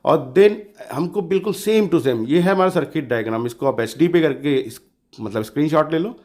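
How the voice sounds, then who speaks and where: A man's voice: on the high side, animated, normal speed, a little mellow. A man hosting a hands-on electronics tutorial in a casual, informal, colloquial way, often repeating himself for emphasis. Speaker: DIY electronics channel host.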